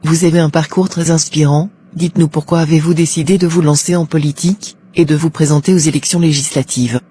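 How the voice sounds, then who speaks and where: A woman's voice: low voice, fairly even intonation, fairly quick, mellow, a little hiss or hum, natural voice. An actress playing a polite, probing female talk show presenter in a cartoon, formal and composed. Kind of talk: scripted broadcast interview in a cartoon.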